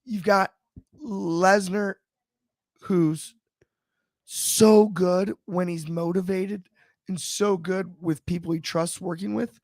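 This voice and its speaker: A man with a slightly raspy voice, chatting about wrestling on a panel show in a thoughtful, halting way with many restarts. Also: high-pitched, fairly even intonation, a little mellow, no room sound. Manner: thoughtful, frequent restarts and fillers. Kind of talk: casual discussion.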